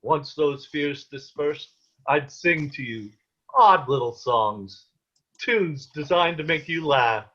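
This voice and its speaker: A man performs a protective, anguished peasant father in a period drama. His voice sits slightly high and he takes his time over ornate, archaic phrases. The sound is a little dull, like an online call. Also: animated, slow, fairly bright tone, heavily acted. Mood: tender, nostalgic. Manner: ornate old-fashioned diction. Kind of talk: dramatic table read of a period stage play. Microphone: video call.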